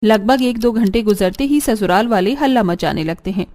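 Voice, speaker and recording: A woman's voice: mid-range voice, normal speed, darkish tone, a little hiss or hum. A woman narrator reading a scripted cartoon family story.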